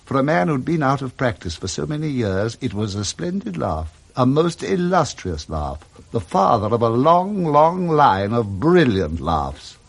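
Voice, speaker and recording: An English man narrates in a dramatic storybook style for radio. He relishes every word and moves his pitch about freely and expressively. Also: sing-song, a little slow, vocal fry, hardly any pauses, breathy edge.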